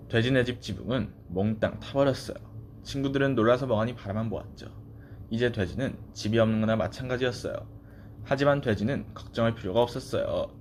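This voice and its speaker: A man narrating a children's storybook, recorded with noise in the background. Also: on the low side. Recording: clearly noisy background.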